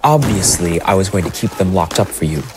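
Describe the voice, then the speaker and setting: A man's voice: sits low, expressive, fairly quick. A man playing a put-upon, wheedling small-town mayor in an audio drama, with a sardonic, fussy, evasive manner. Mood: placating. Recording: noisy background ambience.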